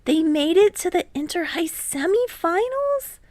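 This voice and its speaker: A woman voicing a friendly, teasing, slightly anxious teenage boy in an audiobook, with an expressive manner. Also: very high voice, unhurried, no room sound, pitched above the performer's own voice, heavily acted. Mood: fretting. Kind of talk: audiobook character voice.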